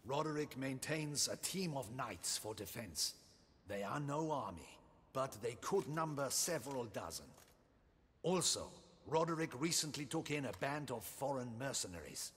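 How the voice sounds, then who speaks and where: An actor playing a proud military guard captain in a video game, stiff, precise and formal. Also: male voice, medium pitch, lively intonation, normal speed, fairly bright tone, husky, in a large hall. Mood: briskly formal. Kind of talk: video game cutscene dialogue.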